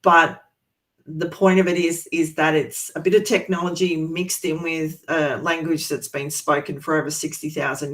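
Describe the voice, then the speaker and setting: A teacher from Australia's east coast, presenting a teaching resource in a relaxed, chatty way with many pauses and restarts. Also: medium pitch. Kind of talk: informal presentation to educators.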